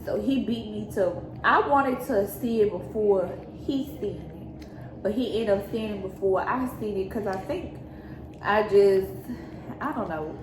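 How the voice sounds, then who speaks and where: A young woman with a medium-pitched voice recounts her own story conversationally, quoting back what was said. She is in an echoey room with noticeable noise. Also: unhurried. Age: young adult. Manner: conversational, quotes remembered exchanges. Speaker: daughter co-hosting a storytime vlog. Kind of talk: casual personal storytelling.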